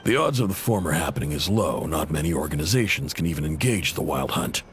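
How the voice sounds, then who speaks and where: A man's voice: very deep voice, lively intonation, fast, soft background music. A man playing a proud, indebted intel broker in a video game, blunt, casual and guarded, with an exasperated edge. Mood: guarded, informative.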